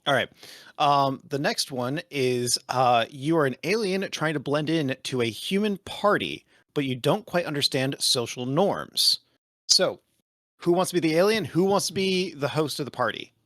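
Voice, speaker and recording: A man's voice: a little high, lively intonation, moderate pace, a bit bright, no room sound, studio quality. A man hosting improv games on a podcast, upbeat and chatty. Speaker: host running improv games. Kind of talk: casual chat.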